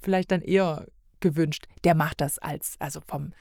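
A woman's voice: low-pitched, fairly even intonation, normal speed, gravelly, a little mellow, no echo. Woman guest on a podcast, just chatting and reviewing stuff, really dry sound no room. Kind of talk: casual chat, radio play review.

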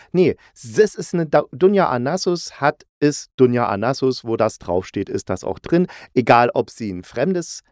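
A man's voice: mid-range voice, dry recording, clean studio sound. A man hosting a podcast, giving a casual, chatty review in a playful, jokey way, often correcting himself mid-sentence. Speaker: podcast host and audio drama reviewer.

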